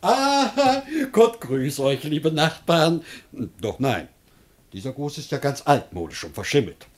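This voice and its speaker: An actor playing a crafty, excitable, boastful citizen of ancient Greece, declaiming theatrically in a classic German radio comedy. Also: male voice, a little high, slow, heavily acted. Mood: effusive, sly. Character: crafty, excitable Greek citizen, gleeful new-rich braggart.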